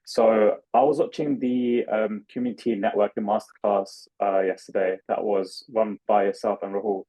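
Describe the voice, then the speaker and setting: A man's voice: on the low side, fairly even intonation, moderate pace, warm tone. A man asking questions as a coaching client in a friendly, chatty way, over dull call audio.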